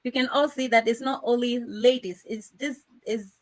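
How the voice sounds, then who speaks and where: High-voiced woman hosting a panel. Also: steady tone, normal speed. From Ghana. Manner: effusive, repeatedly thanking. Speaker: host. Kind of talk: hosting a live panel discussion.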